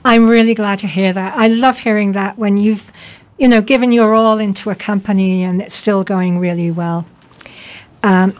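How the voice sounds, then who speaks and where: Slow-spoken woman radio host. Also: medium pitch, darkish tone. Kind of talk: radio interview. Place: radio studio.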